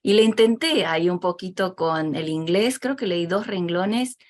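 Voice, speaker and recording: A woman's voice: fairly low pitch, normal speed. A woman hosting an interview as a casual, personal conversation. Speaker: interview host.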